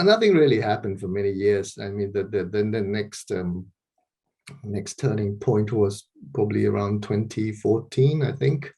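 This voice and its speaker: A middle-aged man from Malaysia speaks over a video call from a bright room at home, in a fairly low voice. He talks hesitantly, restarting and repeating words as he gathers his thoughts, with a soft laugh now and then. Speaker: interview guest, street photographer.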